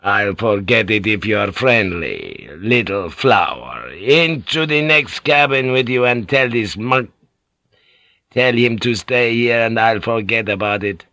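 A man voicing a crude, brutish sailor who threatens loudly. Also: fairly low pitch, big pitch swings, takes it slowly, no echo, heavily acted. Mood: leering. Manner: coarse, threatening, loud.

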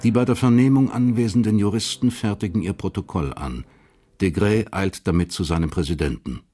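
Faint music runs beneath a male narrator with a fairly low voice. He reads literary scripted narration for a radio crime drama. His delivery is measured, sober and detached. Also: dark tone.

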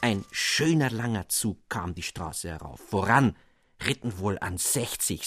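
A man giving an expressive, scripted reading of a literary tale as narrator, with precise storytelling phrasing. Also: fairly low pitch, dry recording, studio quality. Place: dry studio.

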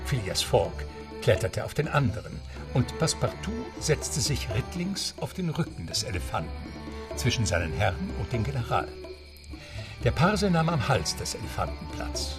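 Guy narrating a radio play, lowish n animated, storybook style, music behind. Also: normal speed, recorded from a distance. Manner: storytelling, literary.

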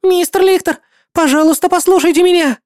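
Woman voicing a shrill pleading young heroine. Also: fairly quick, darkish tone, no echo, studio quality.

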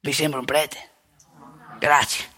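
A woman playing an outspoken, rebellious elderly male priest in a colloquial theatrical monologue, wry and impassioned, with a husky, gravelly voice. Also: sits low, steady tone, slow pace, sharp tone, exaggerated voice. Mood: wry, confiding. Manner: colloquial, confiding, swinging into emphatic indignation.

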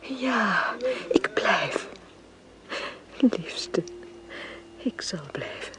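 Tender, slow-spoken anguished lady. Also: mid-range voice, animated, sharp tone, in a whisper, full character voice. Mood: tender, grieving. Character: tender, anguished married woman devoted to her wounded lover. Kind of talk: Dutch radio drama acting.

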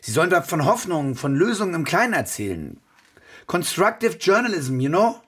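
A man's voice: a little high, big pitch swings, moderate pace, breathy edge. A man playing an impatient, pushy war reporter in radio drama dialogue. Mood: exasperated, insistent. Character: impatient, pushy male war reporter who fakes stories.

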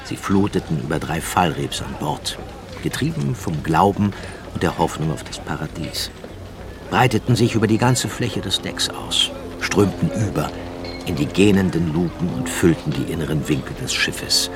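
Male narrator for literary radio play: low register, solemn and measured, strong music bed underneath. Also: lively intonation. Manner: measured, solemn, dramatic phrasing. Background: prominent music.

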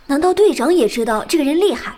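A woman voicing a curious, chatty young female gamer in an audio drama, who keeps asking quick questions. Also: sits high, expressive, mellow. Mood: wondering. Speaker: guild team member.

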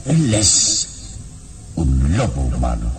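An actor playing a calm, noble, wise adventurer hero in a radio serial, speaking solemnly with measured dramatic pauses. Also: male voice, rumbling low, background noise, heavily acted. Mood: troubled. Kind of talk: vintage radio serial acting. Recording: old radio serial with loud music bed.

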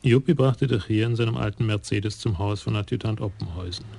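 A man narrating a radio crime play in a measured storytelling manner. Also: lowish voice, gravelly, mellow, slight background noise.

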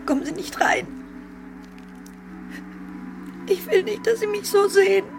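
An actress playing a battered, bitter and despairing wife in a German radio drama, distressed, tearful and accusing. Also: female voice, very high-pitched, brisk, vocal fry. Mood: tearful, ashamed. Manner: anguished, accusing. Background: faint music.

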